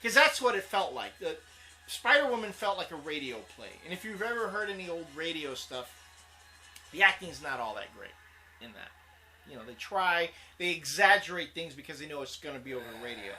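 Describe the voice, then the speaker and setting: A man's voice: on the high side, sing-song, brisk, pushed and bright, soft background music, poor audio. A man, co-hosting a casual comics chat show, rambling with plenty of fillers. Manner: rambling, laughs often, many fillers. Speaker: co-host.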